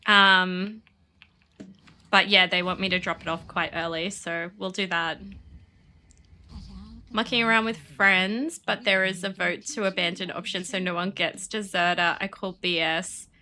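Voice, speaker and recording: A woman's voice: fairly low pitch, fairly even intonation, a little slow, bright, forceful tone, slight background noise. A woman streaming a game and chatting casually, sniffly from a cold, rambling and laughing often. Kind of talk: casual chat while playing.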